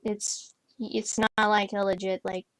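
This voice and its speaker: A woman's voice: medium pitch, little pitch movement, a little slow, fairly bright tone, no echo. A young woman chatting casually while playing a horse game, rambling with lots of fillers and restarts. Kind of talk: casual gameplay chat. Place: bedroom, on a bed.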